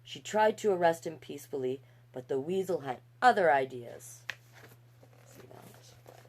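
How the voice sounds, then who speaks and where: A woman hosting a livestreamed storytime, reading picture books in an animated way with chatty, encouraging asides to viewers. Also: deep voice, measured pace, a little hiss or hum. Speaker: storytime host and reader.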